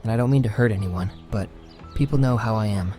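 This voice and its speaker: A man playing a troubled young orphan boy, proud and misunderstood, who talks in a halting, defensive, earnest way in a fantasy horror audio drama. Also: fairly low pitch, over music, natural voice. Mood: hurt, frustrated.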